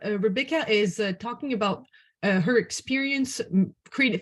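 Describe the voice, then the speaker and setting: Woman hosting an online panel, avg pitch, polite n a bit tentative, unscripted. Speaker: moderator. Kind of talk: spontaneous event moderation.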